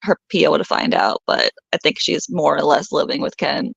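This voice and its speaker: A woman's voice: low-pitched, huge pitch swings, normal speed, gravelly, husky, no echo. A woman talking casually as a guest on a stream, on dull, muffled audio. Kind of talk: casual chat.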